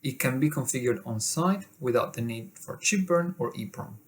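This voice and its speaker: A man's voice: mid-range voice, lively intonation, moderate pace, a little hiss or hum. A man reading a scripted corporate product presentation as a company presenter.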